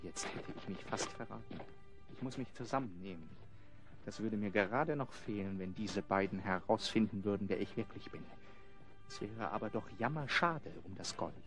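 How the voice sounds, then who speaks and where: A man's voice: fairly low pitch, normal speed, music in the background, natural voice. An actor playing a greedy, secretive nobleman who speaks in a plaintive, theatrical way in an audio drama. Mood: furtive scheming. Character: whining, greedy male nobleman secretly hiding his identity. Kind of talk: German audio drama acting.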